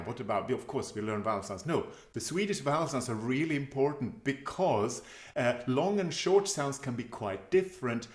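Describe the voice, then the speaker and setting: A man speaking as a language teacher in a question-and-answer session, thoughtful and hesitant in his phrasing. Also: medium pitch. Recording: roomy, echoey sound.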